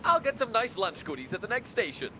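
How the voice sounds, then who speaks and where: Very high, flamboyant young anime man. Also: big pitch swings, fast. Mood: fussing. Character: fussy, doting, flamboyant young man, eager and chirpy. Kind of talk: English anime dub acting. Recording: clear vehicle noise behind.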